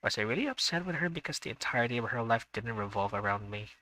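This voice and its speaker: A man's voice: mid-range voice, expressive, quick pace, sharp tone, rough recording. A man streaming a visual novel, reading the narration aloud and adding chatty, hesitant asides. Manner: chatty asides, hesitant with fillers when unsure. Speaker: gaming streamer.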